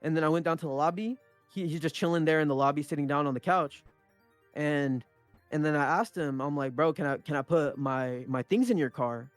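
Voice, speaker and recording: Young male podcast co-host, Punjabi first language, loose and sweary storyteller, slightly higher voice, dry close mic. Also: no echo. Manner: rambling, many restarts and swearing. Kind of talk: casual storytelling chat.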